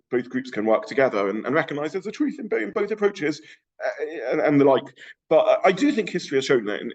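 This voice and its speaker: A man's voice: lowish voice, highs cut off. A middle-aged man with a London accent giving an informal lecture, thinking aloud with frequent restarts. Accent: London English. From England.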